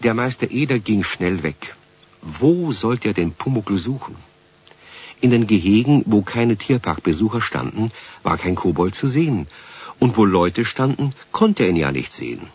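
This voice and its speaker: A storyteller narrates a children's radio play in a husky, lowish voice. His delivery is very lively and scripted, on a slightly muffled old recording. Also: normal speed. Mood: storytelling.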